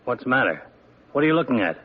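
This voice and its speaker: A man's voice: medium pitch, sing-song, fast talker, breathy edge. A man playing a blunt, guarded police lieutenant in a 1950s radio drama. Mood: wary. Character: gruff, cautious male police lieutenant. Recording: dull lo-fi old radio broadcast with noise.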